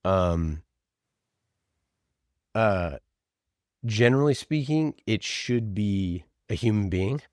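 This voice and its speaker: Deep-voiced man in a podcast interview. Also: expressive, takes it slowly, pauses often, darkish tone, dry recording, clean studio sound. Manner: emphatic, repeats phrases for emphasis. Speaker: podcast producer and interview guest. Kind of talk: conversational interview answers.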